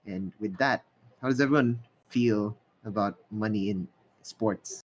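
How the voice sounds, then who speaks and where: A young man hosting a casual podcast, talking in a relaxed conversational way with some fillers. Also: on the low side, measured pace, a little hiss or hum. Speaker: podcast host. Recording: dull lo-fi mic.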